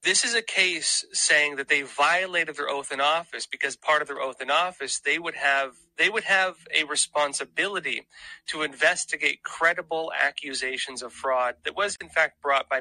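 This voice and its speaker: Guy explaining stuff to his audience, really sharp cutting voice, dry sound, hammers key phrases. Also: a little high, no echo, rough recording. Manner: emphatic, repeats key phrases. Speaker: commentator. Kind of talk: informal explanatory commentary to an audience.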